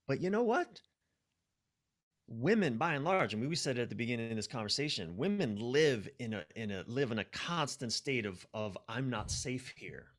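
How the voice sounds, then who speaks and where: A middle-aged man talking reflectively and conversationally as a podcast guest, emphatic, often halting and repeating words. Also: mid-range voice, expressive. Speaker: podcast guest, life and relationship coach. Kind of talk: conversational, reflective interview talk.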